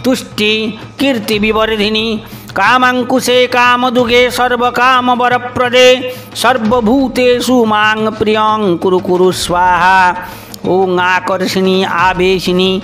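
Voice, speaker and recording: A man reciting a Sanskrit devotional hymn in short, measured, incantatory phrases over music. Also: high voice, little pitch movement, sharp tone. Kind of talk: Sanskrit stotram chanting. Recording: music behind the voice.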